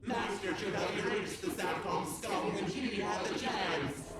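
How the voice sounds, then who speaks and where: An actor playing a raging, monstrous machine boss in a game, ranting indignantly and theatrically. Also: male voice, sits high, unhurried. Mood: furious. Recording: cavernous echo, distant, loud music.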